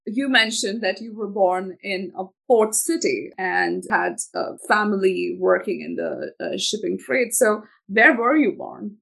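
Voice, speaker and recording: Female podcast host, gravelly edge, polite and direct interviewer. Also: mid-range voice, very animated, unhurried, hardly any pauses, a bit bright, low-quality recording. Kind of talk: interview questions.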